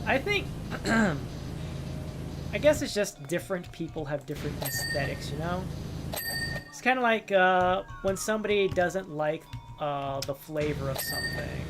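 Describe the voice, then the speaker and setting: A man streaming a game live, chatting casually and musing out loud, with lots of restarts and fillers. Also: high voice, lively intonation, unhurried, long pauses, background noise. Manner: rambling, reflective, with many restarts and fillers. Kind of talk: casual live-stream chat while gaming. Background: loud game music.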